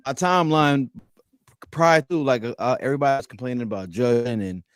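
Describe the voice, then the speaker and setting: A man's voice: mid-range voice, fairly quick, clear, resonant tone, dry recording. A man hosting a livestream podcast in casual chat, emphatic and repeating words as he gets going. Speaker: podcast host.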